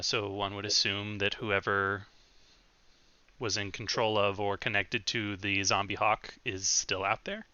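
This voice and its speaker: A man's voice: on the low side, sharp tone, no echo, lo-fi sound. A man acting as game master, recapping the last session in a loose way with many ums and self-corrections.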